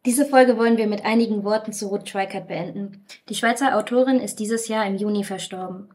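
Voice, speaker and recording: A woman's voice: mid-range voice, darkish tone. Woman reading a literary podcast script. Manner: measured, reads quoted passages aloud. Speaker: podcast presenter.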